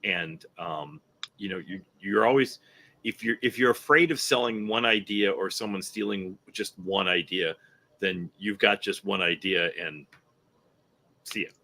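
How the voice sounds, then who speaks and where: A man's voice: deep voice, measured pace, pushed and bright. A man telling anecdotes casually as a guest on a comics creators' panel.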